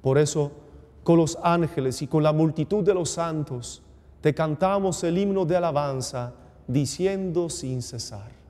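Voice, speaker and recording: A man in his forties, a priest speaking solemnly and with measured exhortation at Mass. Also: a little high, warm tone. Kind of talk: homily and liturgical prayer. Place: small indoor TV studio chapel. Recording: long reverberant hall echo.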